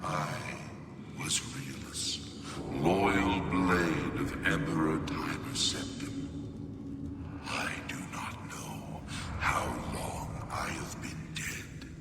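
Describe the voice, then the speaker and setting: Cavernous, very deep ghostly knight. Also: male voice, loud music behind the voice, heavily acted. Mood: weary, solemn. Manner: slow, halting, solemn. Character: ghost of an ancient loyal knight, weary and solemn. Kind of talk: video game acting. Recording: long cavernous reverb, heavy noisy ambience.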